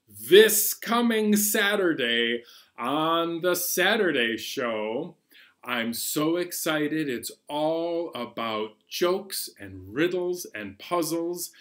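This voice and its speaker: A man in his forties presenting a storytime for young children in an animated, playful manner, recorded at home in a small room. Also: on the high side. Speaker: children's library storytime host.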